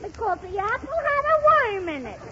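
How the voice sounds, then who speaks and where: Squeaky, pestering little girl. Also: big pitch swings, brisk. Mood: sheepish. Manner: naive, relentlessly questioning. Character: pestering, innocent-sounding mischievous little girl. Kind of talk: 1930s radio comedy acting. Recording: muffled, hissy 1930s radio recording.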